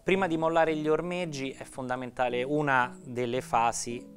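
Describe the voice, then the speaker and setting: A man in his thirties hosting a studio interview, asking questions in an energetic, emphatic way on a lapel mic. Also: medium pitch, brisk, soft background music. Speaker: host. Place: TV studio set.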